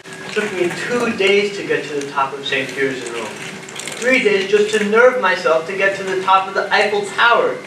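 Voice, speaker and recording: A male student actor as a nervous, fearful spaceman with a high voice. His stage acting comes from far off in a very echoey hall with faint noise. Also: normal speed, close to an everyday voice. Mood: uneasy, musing. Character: anxious, fearful male astronaut lost in space. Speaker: actor in a school theater production. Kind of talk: amateur stage play acting. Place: theater stage, picked up from a distance.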